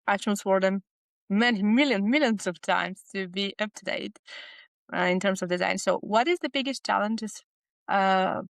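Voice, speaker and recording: A woman with a Bulgarian accent talks earnestly in a casual podcast chat, stacking up short listed phrases. Her voice sits at an ordinary middle pitch. The sound is dry and close, with no room around it. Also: gravelly, no echo. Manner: speaks in short listed fragments, earnest and enthusiastic. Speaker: guest business psychologist.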